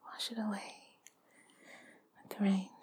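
A woman talking in an intimate, playful ASMR style close to the mic, soft and breathy, often slipping toward a whisper. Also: medium pitch, little pitch movement, measured pace. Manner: giggly, playful, chatty. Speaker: audio performer addressing the listener. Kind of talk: intimate ASMR, rambling and affectionate.

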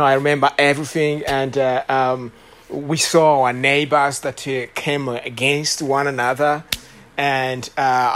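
A man in his late thirties from Rwanda, with a Rwandan accent, preaching as a guest speaker and telling his story with many pauses, fillers and restarts. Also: medium pitch. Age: late 30s. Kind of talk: sermon testimony.

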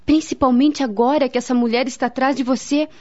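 A woman's voice: high-pitched, fairly even intonation, a little fast, darkish tone, natural voice. An actress playing a grave, devout woman in a radio drama, speaking solemnly and earnestly with a Brazilian accent. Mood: solemn, advising. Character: grave, devout woman friend, counselling and recalling family tragedy. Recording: dull, lo-fi radio drama recording.

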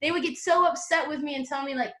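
A woman's voice: sits high, fast, fairly bright tone, echoey room. A woman guest on a casual podcast interview, talking brashly and confidently and swearing freely. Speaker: interview guest, motivational coach.

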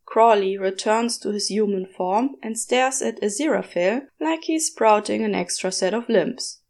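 A woman's voice: mid-range voice, normal speed, a little mellow. A woman reading a story aloud as scripted audiobook-style narration. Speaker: podfic narrator. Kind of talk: scripted narration of fan fiction.

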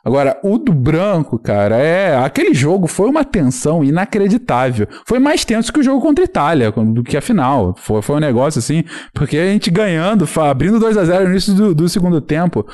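A man in his thirties with a Carioca Brazilian accent, a podcast guest talking in a casual, animated and rambling way. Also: fairly high pitch, brisk, few pauses. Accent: Carioca Brazilian Portuguese. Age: 30s. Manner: animated, rambling storyteller with many restarts and fillers. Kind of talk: casual chat.

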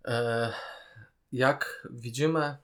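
A man's voice: mid-range voice, steady tone, drawn-out words. A man talking casually and giving opinions as a podcast co-host.

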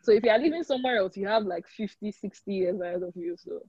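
Podcast guest: young woman, Nigerian accent, husky voice, reassuring and emphatic, on dry, slightly dull audio. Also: mid-range voice, quick pace, a little mellow, dry recording. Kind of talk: conversational advice in an interview.

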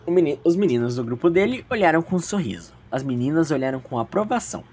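A woman's voice: deep voice, sing-song, fast talker, a little mellow, slightly rough. A woman with a Brazilian accent narrating an audio drama in a storytelling style. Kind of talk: storytelling narration, loosely scripted.

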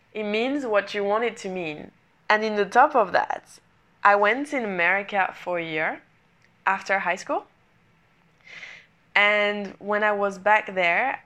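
A woman in her twenties speaks English with a French accent, clearly not her first language. She tells her own story in an earnest, personal way, repeating and reworking phrases as she goes. Her pitch sits in a middle range for a woman. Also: fairly bright tone. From France. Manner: earnest, repetitive phrasing, non-native English grammar. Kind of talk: personal motivational monologue.

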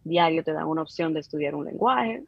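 Low-voiced Dominican woman, husky. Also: lively intonation, brisk, dry recording, muffled recording. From Dominican Republic. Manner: hesitant, many fillers and restarts. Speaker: guest. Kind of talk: casual interview.

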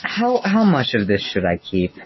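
A man's voice: mid-range voice, huge pitch swings, normal speed, a loud crowd. A man co-hosting a casual podcast, exasperated and trying to rein in his friends. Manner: exasperated, pleading, tries to rein others in. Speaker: podcast co-host and editor. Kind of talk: casual chat among friends. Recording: muffled lo-fi.

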